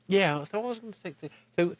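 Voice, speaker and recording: A man's voice: sits high, expressive, fast talker, dry recording. A man hosting a conversational podcast interview, thinking aloud and often rephrasing mid-sentence.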